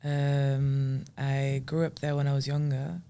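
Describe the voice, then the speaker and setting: Creaky-voiced young woman. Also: very deep voice, level tone, slow, mellow. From France. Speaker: podcast guest, singer. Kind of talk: casual interview chat.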